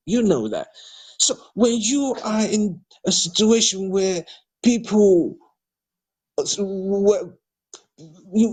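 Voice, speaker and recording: A man's voice: sits high, darkish tone, breathy edge. A man from Nigeria, speaking for a political party in an audio discussion, hesitant with many fillers and restarts but growing emphatic when criticising politicians. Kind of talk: audio discussion replying to a participant.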